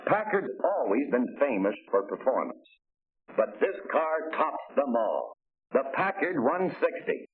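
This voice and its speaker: A man's voice: mid-range voice, sing-song, measured pace. An actor playing an enthusiastic car salesman in a polished, declamatory 1940s promotional film narration. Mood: boastful, enthusiastic. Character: enthusiastic male car salesman showing off luxury models. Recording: tinny, noisy 1940 film soundtrack.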